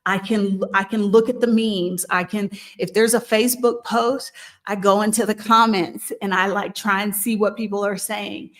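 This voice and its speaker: A woman hosting a channel about moving abroad, talking casually and thoughtfully, with frequent pauses, ums and restarts. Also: mid-range voice, fairly even intonation. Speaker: host of a move-abroad channel. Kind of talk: casual advice talk. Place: living in Mexico.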